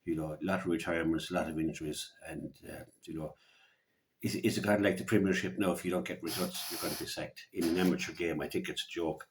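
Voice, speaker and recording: Male local radio sports pundit, Irish accent, very low voice, halting off-the-cuff delivery with repeated words. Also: fairly even intonation, a little hiss or hum, rough recording. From Ireland. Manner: halting, repeats words as he gathers his thoughts. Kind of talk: unscripted sports commentary and opinion.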